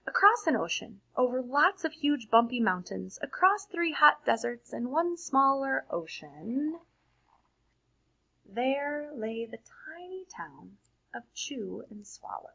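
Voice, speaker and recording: A woman reading a picture book aloud to children in an expressive storytelling manner, adding simple, casual explanations. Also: high-pitched, slow pace, gravelly, low-quality recording. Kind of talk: read-aloud picture book narration with casual asides to young listeners.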